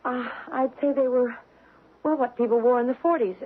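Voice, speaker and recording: An American woman playing a young, hesitant, earnest witness in a radio drama, speaking haltingly. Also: sits high, fairly even intonation, slight background noise, lightly acted. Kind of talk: 1970s radio drama acting. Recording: tinny, narrow old radio.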